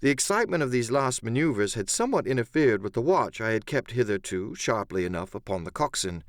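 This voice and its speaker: A man's voice: mid-range voice, sing-song, a little fast, dry recording, clean studio sound. A man giving a dramatic first-person narration of an adventure story as an audiobook narrator.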